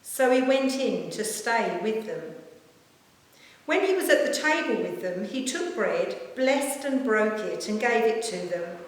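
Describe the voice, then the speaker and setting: A woman minister reading a Gospel passage aloud in a measured, formal, expressive storytelling manner. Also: a little high. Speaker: church minister reading scripture. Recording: very echoey church-like hall, long reverb.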